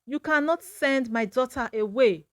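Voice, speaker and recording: A woman's voice: a little high, measured pace, no room sound, clean studio sound, natural voice. A woman voicing a distraught, protective, pleading village mother in a storybook folktale.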